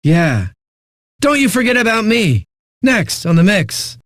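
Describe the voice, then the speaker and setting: An actor playing a cocky, flippant eighties-hits radio DJ in a video game, with smug, punchy announcing and dramatic pauses. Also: male voice, sits high, wildly swooping pitch, dry recording, studio quality, exaggerated voice. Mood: smug, hyped. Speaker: radio DJ, host. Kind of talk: 1980s music radio DJ patter.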